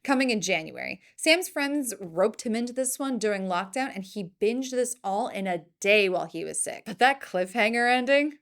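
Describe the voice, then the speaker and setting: A woman's voice: medium pitch, lively intonation, moderate pace, hardly any pauses. A woman hosting a film news show, delivering scripted commentary with playful, emphatic jokey asides.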